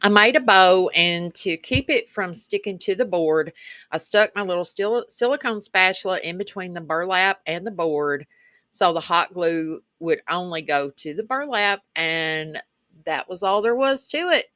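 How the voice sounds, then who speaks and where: A woman with a country Kentucky accent talks through a craft project in a voice-over. Her voice is bright and forward, sitting a little low, and the sound is close and dry. She comes across as folksy and chatty, like a host speaking to regular viewers. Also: a little slow, dry recording. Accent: rural Kentucky Southern. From Kentucky, rural United States. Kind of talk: casual craft tutorial voice-over.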